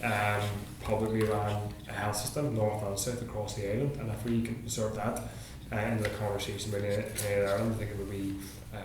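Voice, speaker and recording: A man on a discussion panel answering audience questions in a thoughtful, hedging way with plenty of fillers. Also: fairly low pitch. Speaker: panel speaker. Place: large meeting hall. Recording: echoey hall, background noise.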